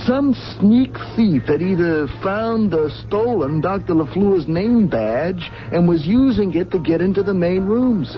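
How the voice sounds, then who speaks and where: Chatty, animated gossipy hotel clerk. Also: male voice, high-pitched, measured pace, hardly any pauses. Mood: gossipy, excited. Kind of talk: 1970s radio drama acting. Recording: dull 1970s radio broadcast, music behind.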